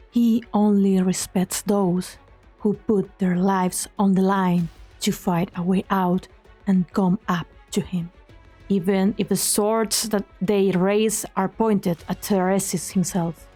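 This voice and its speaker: A woman reading scripted dramatic story narration in a measured, solemn manner. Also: medium pitch, dark tone. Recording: background music under the voice.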